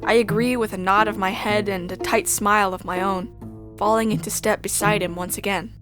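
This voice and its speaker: A woman's voice: mid-range voice, fairly even intonation, a little fast, natural voice. A woman voicing an earnest, easily flustered, curious young space traveller who narrates a visual novel in the first person. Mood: narrating. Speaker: narrator and player character. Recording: music behind the voice.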